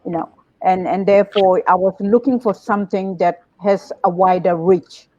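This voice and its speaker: A woman guest on an online talk, explaining her reasons in a steady way. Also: medium pitch, moderate pace, no room sound. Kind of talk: casual chat. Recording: dull dry sound.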